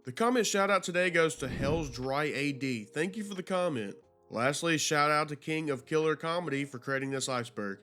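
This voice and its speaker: Higher-pitched man narrating casual YouTube commentary. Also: animated, normal speed, fairly bright tone, faint music behind. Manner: informal, slangy, conversational. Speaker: YouTube host.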